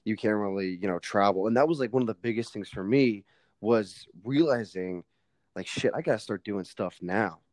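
A man's voice: fairly low pitch, moderate pace, darkish tone, dry recording, studio quality. Emphatic young man, casual podcast chat. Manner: emphatic, swears casually, heavy fillers. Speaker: podcast guest.

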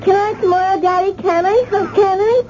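A woman's voice: extremely high pitch, fairly even intonation, brisk, darkish tone. An actress playing an eager, curious little girl, a daughter in a 1970s radio drama. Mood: eager, pleading. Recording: muffled, noisy 1970s radio recording.

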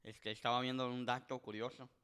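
Rambling twenty-something host. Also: male voice, medium pitch, little pitch movement, a little fast, fairly bright tone, smooth. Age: 20s. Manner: rambling storyteller, many filler words, switches between Spanish and English. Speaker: podcast host. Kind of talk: casual bilingual chat.

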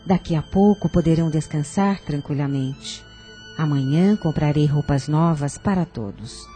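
An actress playing a kind, hospitable older aunt in a radio drama, gentle and maternal. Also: female voice, low-pitched, normal speed, soft background music, close to an everyday voice. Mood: kind, welcoming.

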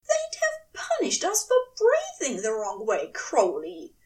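A woman acts out a male angel, prim and furious with hurt. The voice sits very high and swings widely with indignation. It is a storybook-style drama delivery, recorded a little back from the microphone. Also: wildly swooping pitch, takes it slowly, far from the mic, exaggerated voice. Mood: furious, indignant. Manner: prissy, indignant, old-fashioned turns of phrase.

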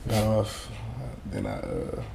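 A young man co-hosting a casual podcast, sounding sleepy. He tells his stories in a relaxed way and laughs along. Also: a bass voice, slow, vocal fry, slight background noise. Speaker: podcast co-host.

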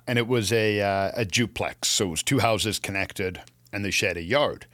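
A man with a Canadian accent chatting casually as co-host of a gardening show, telling anecdotes in an animated way. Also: lowish voice, moderate pace, no room sound.

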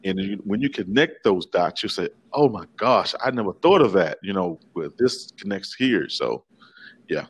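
Deep-voiced man talking loosely. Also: lively intonation, normal speed, slightly rough. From Washington, D.C. area, USA. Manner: rambling, many fillers and restarts. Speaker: filmmaker guest. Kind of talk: casual interview. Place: remote call from Italy.

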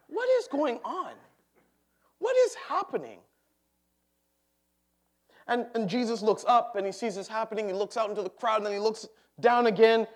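A man delivers a sermon in a church sanctuary. He is animated and humorous, joking with the congregation as he preaches. His voice sits a little higher than most men's. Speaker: pastor.

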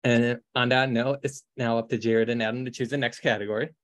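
A man's voice: mid-range voice, steady tone, fairly quick, no echo. A man hosting a casual online quiz game, upbeat and joking as he gives hints. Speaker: quiz host.

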